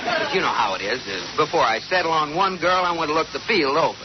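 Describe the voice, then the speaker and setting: An American actor playing a mischievous, teasing husband with exaggerated mock earnestness in old radio comedy style. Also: male voice, fairly high pitch, expressive, quick pace, a loud crowd, natural voice. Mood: mock hesitant. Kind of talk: 1940s radio comedy acting. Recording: muffled, noisy old radio recording.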